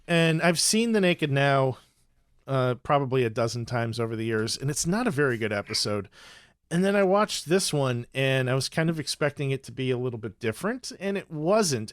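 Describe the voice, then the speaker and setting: A man's voice: on the high side, expressive, normal speed, no echo. Man chatting casually, dry close sound. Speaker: podcast co-host. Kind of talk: casual chat.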